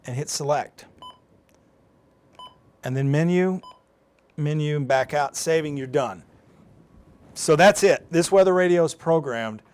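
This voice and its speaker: A man's voice: fairly high pitch, a little mellow. A man presenting an informal, unscripted step-by-step tutorial, explaining plainly in a conversational way as he demonstrates. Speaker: presenter of a live how-to demonstration.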